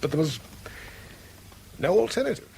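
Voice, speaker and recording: An actor playing a flustered, evasive British managing director in a 1970s radio drama, hesitant, repetitive and apologetic. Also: male voice, a little high, sing-song, normal speed, creaky, warm tone, heavily acted. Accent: British English. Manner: hesitant, stammering, repeats himself. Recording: 1970s radio recording, faint background noise.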